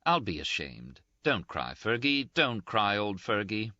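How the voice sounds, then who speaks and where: A calm young woman speaks softly and soothingly, as a male narrator reads her part. The tone is bright and gently lively. The sound is dry and slightly muffled. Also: on the low side, measured pace, no room sound, pitched above the performer's own voice, heavily acted. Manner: soft, soothing, light. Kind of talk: audiobook character voice.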